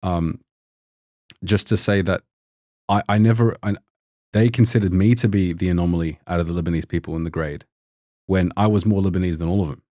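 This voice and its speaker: A man's voice: low voice, steady tone, brisk, pauses often, a little mellow, dry recording, clean studio sound. A young man, a doctor, telling stories in casual chat, rambling with many restarts and fillers. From Australia. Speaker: podcast guest, doctor.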